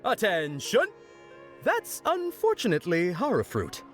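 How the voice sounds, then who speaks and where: A cheery theatrical knight from a video game, hugely swoopy voice, pompous but friendly, light music behind. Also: male voice, high voice, wildly swooping pitch, a little slow, heavily acted. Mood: mock-commanding. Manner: pompous proclamations turning to friendly patter. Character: jolly festival knight performer, theatrically commanding children.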